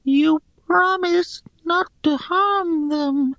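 Man voicing a frail, creaky old female treeling, painfully slow. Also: extremely high pitch, sharp tone, dry recording, highs cut off, voice pushed up, full character voice. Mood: anxious urging. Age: older. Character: frail aged female treeling, glum and guilt-ridden.

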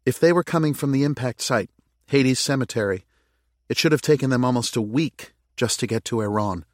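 A man's voice: mid-range voice, fairly quick, no room sound, clean studio sound, voice pushed up, close to an everyday voice. A man voicing an earnest, thoughtful woman in an audiobook, questioning and tentatively thinking aloud. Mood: probing. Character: earnest, thoughtful woman reasoning through a mystery. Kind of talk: audiobook character dialogue.

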